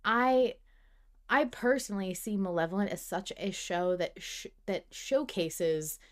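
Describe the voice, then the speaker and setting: A woman's voice: mid-range voice, unhurried. A woman hosting a podcast, talking casually about a story with her co-host. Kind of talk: casual discussion of a fiction podcast.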